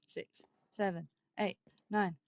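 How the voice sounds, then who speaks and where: A woman hosts a let's play with casual commentary. She thinks out loud in short, broken phrases, playfully chatting to herself at a mid-range pitch. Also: steady tone, takes it slowly, creaky, dry recording.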